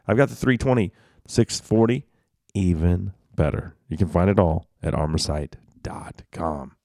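A deep, dark-toned man with a drawl hosts an outdoor radio show. He talks in a relaxed, chatty way and slides easily between ad reads and conversation. The sound is close and dry. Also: a little slow, gravelly, dry recording. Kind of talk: casual radio chat and live ad reads.

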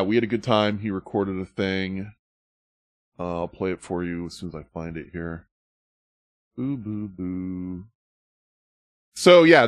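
A man's voice: on the low side, clear, resonant tone, dry recording. A man hosting a podcast, telling a story in a loose, chatty way. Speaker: podcast host. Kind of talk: casual podcast chat.

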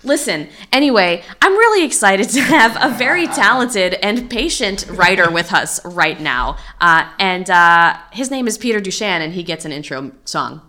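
A woman hosting a casual interview, upbeat and quick to laugh as she leads the talk. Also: mid-range voice, big pitch swings, bright, forceful tone. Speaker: podcast host. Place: studio in New York.

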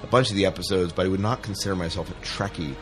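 A man's voice: deep voice, rapid-fire, fairly bright tone, faint music behind. A man hosting a podcast in casual, joking chat with his co-host, often rephrasing as he goes.

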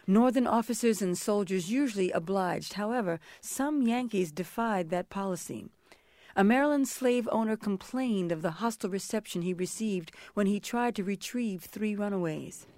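A woman reads scripted historical narration. Her voice sits at a middle pitch, and the sound is dry and close, with no room around it. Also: moderate pace, a little mellow, dry recording.

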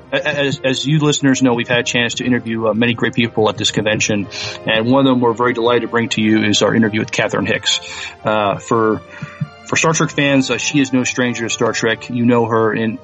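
A man co-hosting a sci-fi podcast, talking earnestly with many pauses and fillers. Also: medium pitch, fairly bright tone, lo-fi sound. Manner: hesitant, lots of ums, earnest. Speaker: podcast co-host. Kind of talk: casual podcast chat.

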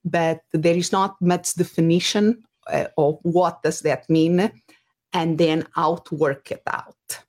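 A woman's voice: on the low side, steady tone, slow pace, darkish tone. A woman from Portugal with a Portuguese accent, a business coach talking through ideas in a casual interview and searching for her words. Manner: thoughtful, searching for words with fillers. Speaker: business coach and podcast host.

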